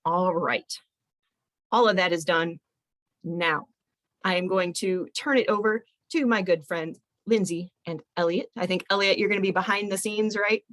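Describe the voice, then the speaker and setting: A woman's voice: lowish voice, moderate pace, a bit bright. A woman hosting a webinar, giving upbeat, chatty announcements and introductions. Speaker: webinar host. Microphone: computer mic on a video call.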